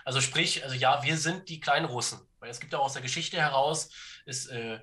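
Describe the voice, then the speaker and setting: A man speaking as a podcast guest, explaining history and politics conversationally in a halting way, searching for words and checking in as he goes. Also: mid-range voice, sharp tone.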